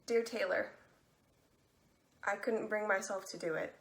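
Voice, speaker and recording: A woman's voice: medium pitch, steady tone, a little slow, vocal fry, a bit bright. An actress playing a wry, regretful teenage girl in a stage drama, answering letters aloud. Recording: echoey room with light noise.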